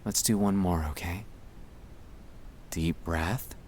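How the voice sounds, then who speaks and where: A man speaking softly and soothingly, often slipping toward a whisper, as he gently guides breathing in a sleep-aid ASMR style. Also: low voice, slow pace, slight background noise. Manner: soft-spoken, soothing, often dropping into whispers. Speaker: ASMR creator guiding breathing exercises.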